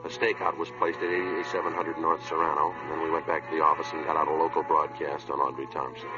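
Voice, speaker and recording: An actor playing a terse, businesslike police detective who narrates his cases in a clipped, deadpan way in a 1950s radio drama. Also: a bass voice, fast. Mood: flat, factual. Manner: clipped, deadpan, matter-of-fact. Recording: muffled old radio recording, music behind.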